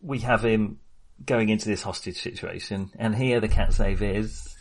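A man's voice: lowish voice, moderate pace, low-quality recording. A man co-hosting a film discussion, talking casually and analytically as he thinks aloud in an informal, chatty way. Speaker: film podcast co-host.

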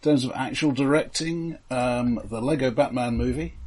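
A man co-hosting a podcast, giving his film opinions in casual chat with a measured, understated manner. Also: medium pitch, a little mellow.

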